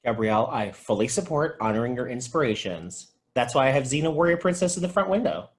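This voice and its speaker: A man plays a cheery, supportive video store clerk. The pitch swoops around with expressive, sympathetic reactions in a comedy script read. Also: fast, lightly acted.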